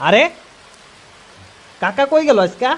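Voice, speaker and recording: A man's voice: very high voice, expressive, slow, highs cut off, a little hiss or hum, pitched above the performer's own voice, heavily acted. A man voicing a chatty, teasing young village friend in an audio story. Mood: chatty, casual.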